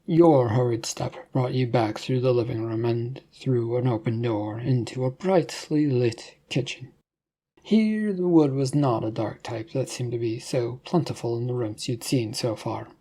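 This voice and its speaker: Mellow, husky-edged male storyteller. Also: mid-range voice, unhurried. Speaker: narrator. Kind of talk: second-person horror story narration.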